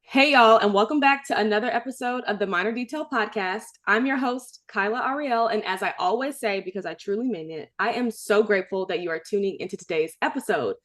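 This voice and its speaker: A young woman from Chicago hosts a relaxed podcast conversation. She talks with eager enthusiasm and sprinkles in y'all and okay. Her pitch sits in the middle range for a woman. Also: fairly quick, hardly any pauses. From Chicago, USA. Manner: enthusiastic, warm-hearted, says y'all and okay often. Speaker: podcast host. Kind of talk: casual interview chat.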